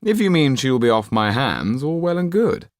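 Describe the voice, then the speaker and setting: A man's voice: mid-range voice, big pitch swings, normal speed, no echo, studio quality, pitched above the performer's own voice, close to an everyday voice. A man voicing a callous, pompous French marquis in an audiobook, speaking with drawling disdain and dismissiveness. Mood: dismissive.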